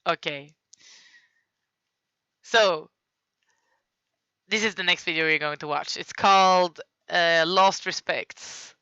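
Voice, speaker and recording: Bright-voiced Swedish woman, slow and giggly. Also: lowish voice, clear, resonant tone, no room sound, dull audio. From Sweden. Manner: giggly, apologetic, stumbles over names. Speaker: streamer. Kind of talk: casual live-stream commentary.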